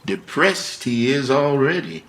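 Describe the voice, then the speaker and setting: Slow, solemn gardener. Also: male voice, medium pitch, very animated, fairly bright tone. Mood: grave, musing. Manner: measured, solemn verse delivery. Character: grave, sorrowful, philosophical royal gardener. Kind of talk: Shakespearean radio play acting.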